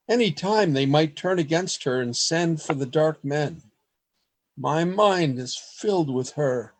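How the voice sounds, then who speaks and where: A man's voice: mid-range voice, a little mellow, lightly acted. A man acting an earnest, lovestruck and fearful poet in a radio play, with formal, theatrical phrasing, recorded over a video call. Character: earnest, lovestruck, fearful male poet keeping a secret journal. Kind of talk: radio play acting, journal narration and dialogue.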